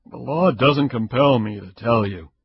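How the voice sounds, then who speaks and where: A man voicing a stern male state police investigator, curt and forceful. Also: mid-range voice, measured pace, vocal fry, breathy edge, no echo, exaggerated voice. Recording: dull lo-fi recording.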